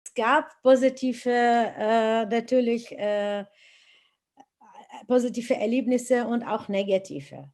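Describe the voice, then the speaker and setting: Middle-aged woman, slightly high pitch, heartfelt interview storyteller. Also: slow. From Iraq. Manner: earnest, animated retelling with many hesitations.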